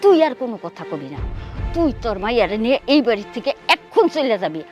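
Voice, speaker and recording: Shrill, spiteful village mother-in-law. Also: very animated, fast, a little mellow, faint music behind. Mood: accusing, domineering. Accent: rural Bangladeshi. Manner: scornful, scolding, taunting. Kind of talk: melodramatic Bangla TV drama acting.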